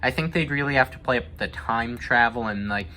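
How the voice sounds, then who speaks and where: A man's voice: mid-range voice, a little fast, pushed and bright, faint music behind. A young man chatting casually and giving his opinions, thinking aloud as he goes. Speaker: discussion host. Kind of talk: casual chat about video game movies.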